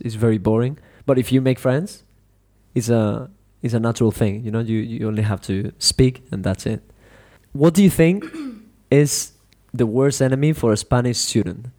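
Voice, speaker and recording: A man who teaches English hosts a casual podcast interview with a student. His voice is warm and mellow. He talks loosely, restarting often and leaning on "you know". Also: mid-range voice. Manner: rambling, many "you know" fillers and restarts.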